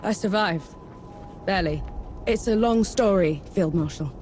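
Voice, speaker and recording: A woman's voice: medium pitch, unhurried, a little mellow, soft background music, natural voice. An actress playing a determined woman soldier and god-killer in a game cutscene, resolute and commanding. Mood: guarded. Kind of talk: video game cutscene acting.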